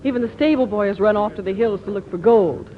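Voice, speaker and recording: A woman's voice: mid-range voice, fast talker, a little hiss or hum, close to an everyday voice. A woman playing a lonesome, well-spoken young frontier woman in an old-time radio musical drama. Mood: tart, disapproving. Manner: earnest, well-spoken, formal diction. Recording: thin, phone-like 1950s radio broadcast, faint music.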